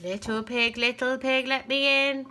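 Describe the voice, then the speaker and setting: A woman's voice: on the high side, steady tone, background noise, natural voice. A woman doing a huffing big bad wolf. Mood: threatening. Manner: slow, drawn-out, huffing threats.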